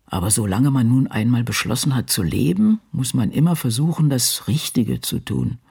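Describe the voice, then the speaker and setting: An actor in a literary radio drama voicing the brooding diary thoughts of an aging crime novelist, gravelly and husky. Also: male voice, mid-range voice, expressive, moderate pace, darkish tone, full character voice. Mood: bitter, resigned.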